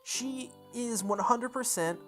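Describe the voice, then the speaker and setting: A woman playing through a visual novel, reading its text aloud with expressive, goofy casual commentary, sometimes correcting her stumbles. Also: fairly high pitch, slow pace, soft background music. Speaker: host of a visual novel playthrough.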